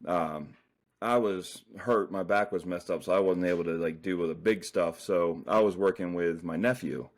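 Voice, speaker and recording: Man, very deep voice, guest writer, casual rambling chat, lots of fillers. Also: normal speed. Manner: loose, rambling, many "like" fillers. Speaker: guest author.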